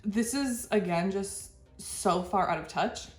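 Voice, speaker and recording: A stuffy-nosed woman hosts a reaction video, frank and exasperated, breaking into short laughs between points. Her voice sits at an ordinary middle pitch. The room rings with echo, and faint music plays underneath. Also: takes it slowly. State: stuffy from a cold. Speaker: channel host. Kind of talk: reaction commentary.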